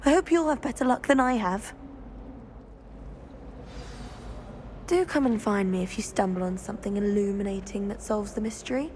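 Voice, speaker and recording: A woman's voice: mid-range voice, quick pace, background noise, lightly acted. A woman playing a studious, puzzle-loving teenage witch in a video game, wry and articulate. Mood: frustrated, hopeful. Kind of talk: scripted video game dialogue.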